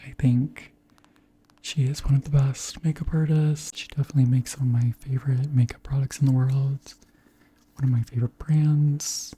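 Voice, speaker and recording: A beauty creator around thirty speaking softly in a whispery, breathy voice, gently chatting through products in an unhurried ASMR style. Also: medium pitch, slight background noise. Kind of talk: soft-spoken ASMR chat and product show-and-tell.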